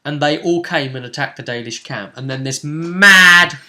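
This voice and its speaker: A man's voice: a little high, normal speed, bright, forceful tone. A man casually recapping a tabletop role-playing session as game master, telling it as a story and checking for agreement. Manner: storytelling, seeks agreement with tag questions. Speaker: game master and show host.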